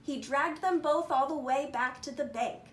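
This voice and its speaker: A woman reading a children's picture book aloud with expressive, slightly informal storytelling. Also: sits high, normal speed, slight background noise.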